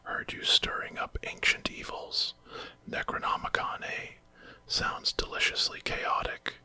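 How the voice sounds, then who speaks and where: A man voicing a wicked, mocking time-traveling villain in a breathy whispered ASMR story. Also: measured pace, sharp tone. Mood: gleefully sinister.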